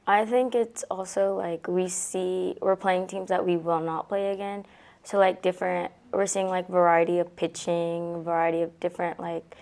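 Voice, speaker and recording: Young female athlete, low and even delivery, casual interview answers. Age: young adult. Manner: casual, many likes. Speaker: college softball player.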